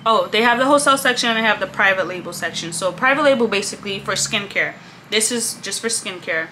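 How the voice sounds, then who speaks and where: Young woman, casual vlog chat, bright tone, slightly congested, small room, desk webcam. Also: medium pitch, animated, quick pace, slight background noise, low-quality recording. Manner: chatty, laid-back, often trailing off softly. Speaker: vlogger.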